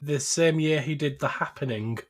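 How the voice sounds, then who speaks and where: Higher-pitched man chatting casually. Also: moderate pace, background noise. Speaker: podcast co-host. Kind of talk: casual film chat.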